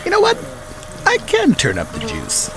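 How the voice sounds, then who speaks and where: A male actor as a nervous alien rest-stop keeper in a sci-fi audio drama. His high voice swoops wildly and cracks with creak as he fawns and schemes theatrically. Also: normal speed, noisy background, heavily acted. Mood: fawning, ingratiating. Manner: excitable, fawning, theatrical.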